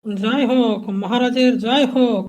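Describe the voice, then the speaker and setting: An actor playing a poor, stubborn, righteous Brahmin man in a cartoon, speaking in halting, declamatory phrases. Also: very high-pitched, a little mellow. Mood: respectful. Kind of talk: cartoon dialogue.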